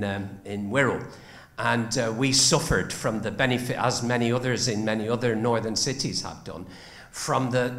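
A man's voice: on the low side, a bit bright. An older man from the north of England speaking from the audience seats of a lecture theatre on a handheld mic, rambling with many restarts. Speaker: audience member. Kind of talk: audience question. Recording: echoey lecture hall.

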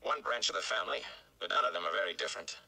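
A man voicing a stout old village mayor, flustered and blustering, with an emphatic, excitable delivery in a storybook reading. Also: low voice, very fast, vocal fry, full character voice, low-quality recording. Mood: grumbling.

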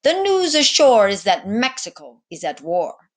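A woman's voice: fairly high pitch, big pitch swings, unhurried, voice pushed up. Woman doing a grand, theatrical sea captain. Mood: grave. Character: tall proud male Mexican ship captain.

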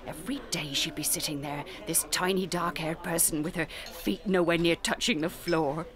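An actress playing a kindly, doting British librarian in a dramatised children's audiobook, gentle and encouraging as she talks. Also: fairly low pitch, steady tone, normal speed, lightly acted. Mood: fond, marvelling. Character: kindly, doting older female village librarian. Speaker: librarian narrating memories.

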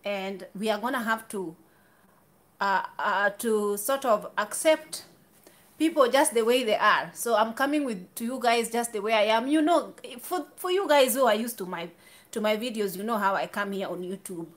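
A woman's voice: mid-range voice, normal speed. A woman in her thirties talking candidly to camera in a small room, chatty, with restarts and asides. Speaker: YouTuber. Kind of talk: casual talk to camera.

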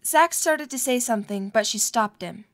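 High-pitched woman narrating a story. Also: lively intonation, moderate pace, a bit bright. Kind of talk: scripted story narration for a fan audio drama.

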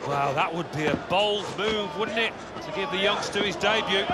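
A man's voice: sits high, normal speed, very noisy. A man giving broadcast football analysis as a co-commentator in a video game. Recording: loud music and stadium crowd.

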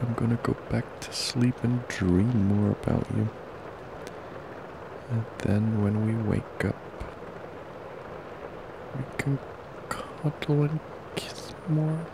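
Gravelly, murmuring man in intimate ASMR. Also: drawn-out words, pauses often, background noise. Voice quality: low, gravelly, creaky. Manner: soft, drowsy, affectionate murmuring, often breathy half-whisper. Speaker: ASMR roleplay performer as boyfriend. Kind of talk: intimate ASMR boyfriend roleplay. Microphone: close ASMR microphone.

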